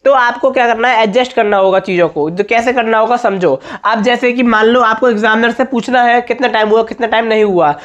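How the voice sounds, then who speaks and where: A man with a slightly hoarse voice, giving an informal exam-tips lesson as a teacher, emphatic and repetitive, often checking that students follow. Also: very high-pitched, fairly even intonation, fairly quick, few pauses, a bit bright. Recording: dull, muffled lo-fi audio.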